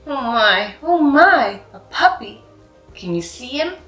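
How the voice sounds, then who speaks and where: A woman voicing a kindly, bedridden hospice resident in a storybook, gentle, curious and a little halting. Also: high-pitched, very animated, soft background music, voice pushed up, heavily acted. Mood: gently curious. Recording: roomy, echoing sound.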